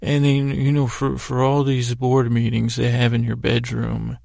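A man with a creaky, gravelly voice hosting a bedtime podcast, telling a meandering monologue with halting, stammered, repeated words. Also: medium pitch, completely flat delivery, mellow, no echo. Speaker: podcast host. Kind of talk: rambling bedtime sleep-story monologue.

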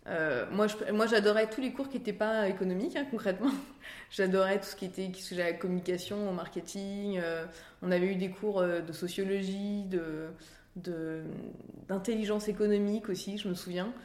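A woman's voice: medium pitch, fairly quick, darkish tone. A woman, telling her story in a chatty, informal, conversational way with self-deprecating asides. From France. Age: 30s. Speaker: interview guest, career-change coach and author. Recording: roomy, echoing sound.

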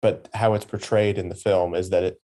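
Man, podcast guest, very deep voice, mellow and warm, earnest. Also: steady tone, fairly quick. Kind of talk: casual film review discussion.

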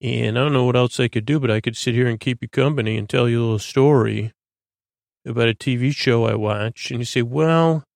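A man hosting a bedtime podcast in a creaky, lulling voice, droning along in a halting, stammering, meandering monologue meant to help listeners sleep. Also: medium pitch, no room sound, studio quality. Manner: halting, stammering, repeats and plays with words. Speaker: podcast host.

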